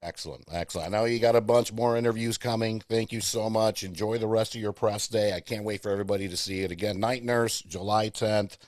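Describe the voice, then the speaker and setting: A man's voice: lowish voice, fairly even intonation, a little fast, few pauses, darkish tone, dry recording, studio quality. A man hosting a pop culture podcast, chatting with a film cast on press day and often restarting his sentences. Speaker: podcast host. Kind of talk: casual press-day interview.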